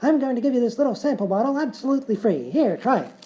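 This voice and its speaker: A woman playing a showy, fast-talking medicine show huckster in a live radio-style comedy sketch. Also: on the high side, big pitch swings, dark tone, a little hiss or hum. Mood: smooth assurance. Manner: showy, smooth-talking salesman patter.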